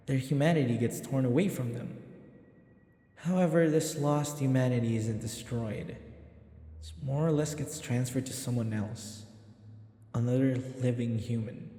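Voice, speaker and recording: A man playing a vampire's uneasy, devoted human servant in an audio roleplay, speaking in a measured, reflective, journal-like way with echo around the voice. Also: medium pitch, warm tone, close to an everyday voice. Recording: echoey, long reverb.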